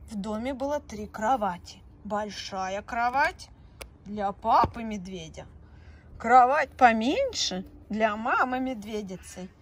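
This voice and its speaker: A woman narrating a children's fairy tale at a tabletop. Her voice is high and lively, rising and falling in a sing-song way, and she takes her time. A faint hiss sits behind her. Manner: slow, expressive, sing-song storytelling. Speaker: storyteller. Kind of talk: children's story narration with paper puppets. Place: indoors, tabletop on green felt.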